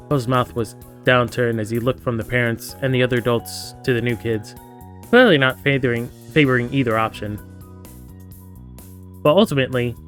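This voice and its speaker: The guy on a game stream reading a story game out loud in a middling voice, playfully chiming in over the soundtrack. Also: mid-range voice. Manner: playful, acts out characters' lines. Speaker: streamer playing a visual novel. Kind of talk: reading game text aloud with casual asides.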